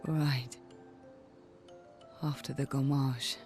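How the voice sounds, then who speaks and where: An actress playing a gentle young woman on an expedition in a video game, speaking softly and reflectively. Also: rumbling low, fairly even intonation, very slow, faint music behind, heavily acted. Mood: subdued, remembering. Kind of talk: video game cutscene acting.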